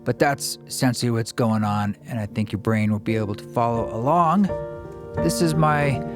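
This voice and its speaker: A man hosting a podcast, speaking thoughtfully with pauses and fillers as he reflects and introduces a guest. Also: mid-range voice, over music. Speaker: podcast host. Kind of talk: reflective podcast monologue and interview.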